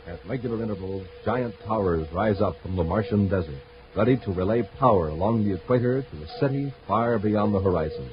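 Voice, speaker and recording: An American man performing as a dramatic announcer, narrating a vintage radio space adventure serial with deliberate delivery. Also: on the low side, natural voice. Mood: dramatic, scene-setting. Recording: muffled, hissy vintage radio recording.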